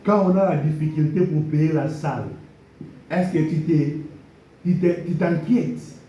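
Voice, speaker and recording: A man's voice: on the high side, a little slow, dark tone, muffled recording. A man preaching a sermon, repeating blessings and drawing amens from the congregation. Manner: preaching with call-and-response amens; repeats key phrases and blessings. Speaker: pastor. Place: church hall. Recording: echoey hall from far off.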